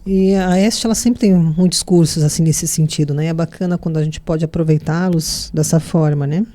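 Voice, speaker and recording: A woman's voice: deep voice, fairly even intonation, moderate pace, dark tone, no echo. A woman hosting a conversational interview, asking questions and giving short encouraging affirmations.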